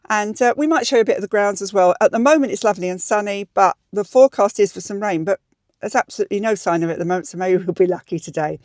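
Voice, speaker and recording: A woman's voice: medium pitch, a little mellow, no room sound. Woman in her sixties, close and dry. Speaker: vlogger. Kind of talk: chatty vlog to camera. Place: inside a parked car, daytime.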